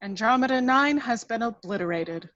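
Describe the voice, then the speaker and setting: A woman's voice: fairly high pitch, lively intonation, moderate pace, a bit bright, no echo, muffled recording. A woman playing an obedient, formal starship officer in an amateur script read. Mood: dutiful, formal.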